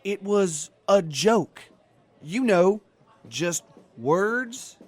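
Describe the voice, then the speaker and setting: An actor in an audio drama playing a boisterous, folksy Irish-American barfly who loves being the center of attention. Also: male voice, high-pitched, animated, drawn-out words, no room sound, full character voice. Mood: bewildered, indignant.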